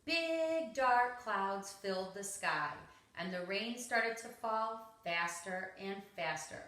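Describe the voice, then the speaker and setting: A woman's voice: medium pitch, lively intonation, slow, pushed and bright. A woman reading a children's story aloud, expressively and with dramatic emphasis. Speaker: storybook reader. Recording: roomy, echoing home recording.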